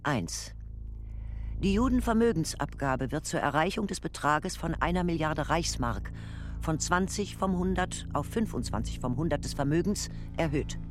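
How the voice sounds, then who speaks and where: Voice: medium pitch, expressive, moderate pace, a little hiss or hum, lightly acted. An actor playing a formal Nazi-era ministry voice, reading out a decree in a documentary radio play. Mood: official.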